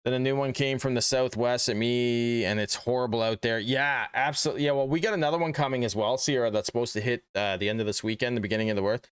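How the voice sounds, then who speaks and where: A man's voice: medium pitch, fairly even intonation, fast, hardly any pauses, clean tone, no room sound, clean studio sound. A man streaming a video game and chatting casually with viewers while losing his voice. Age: adult. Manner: chatty, reads chat aloud.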